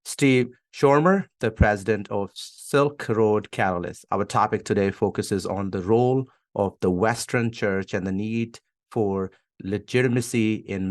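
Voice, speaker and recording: A man hosting an interview podcast, introducing his guest and asking questions. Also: lowish voice. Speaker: podcast host.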